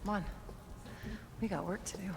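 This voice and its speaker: An actress plays a wounded female agent in a video game. She sounds guarded, wry and determined at a middle pitch. Loud noise runs through the game audio. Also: steady tone, normal speed, vocal fry, close to an everyday voice. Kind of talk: video game cutscene acting.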